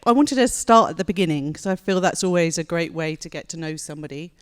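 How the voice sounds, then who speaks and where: Polite woman interviewer, smooth lowish voice. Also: lively intonation, quick pace. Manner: friendly, polite, with fillers. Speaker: journalist and interviewer. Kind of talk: live onstage interview. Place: event hall before an audience.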